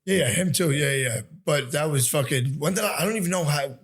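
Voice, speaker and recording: A man's voice: fairly high pitch, expressive, fairly quick. A man in his twenties chatting casually as a podcast guest, with short, informal replies. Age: 20s. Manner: informal, clipped replies with swearing. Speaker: podcast guest, online content creator. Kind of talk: casual chat.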